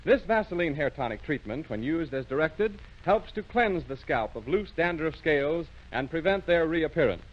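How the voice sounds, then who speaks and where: A man's voice: fairly high pitch, expressive, moderate pace, hardly any pauses. A man announcing in a formal, polished 1930s radio advertising style, on a muffled old recording.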